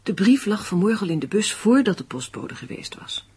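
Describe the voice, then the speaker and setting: A woman's voice: medium pitch, big pitch swings, a little fast, a little mellow, husky, full character voice. Fretful woman in a hazy radio play. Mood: anxious. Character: anxious woman menaced by threatening anonymous letters. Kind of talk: Dutch radio play acting.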